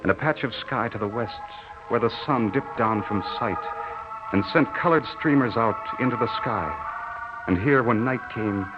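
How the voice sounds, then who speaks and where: A man's voice: mid-range voice, moderate pace, close to an everyday voice. An actor playing an earnest, lovelorn young farm-raised soldier, telling his story in a folksy, solemn way in 1940s radio drama style. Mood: wistful. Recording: muffled old radio recording with music. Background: dramatic music.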